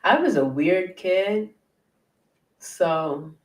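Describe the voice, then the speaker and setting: A middle-aged woman with a naturally deep voice, a guest hypnotherapist chatting casually and laughing at herself on a video call. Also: lively intonation, drawn-out words. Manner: self-deprecating, laughs often. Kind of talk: casual interview chat. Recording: roomy sound from far off the mic.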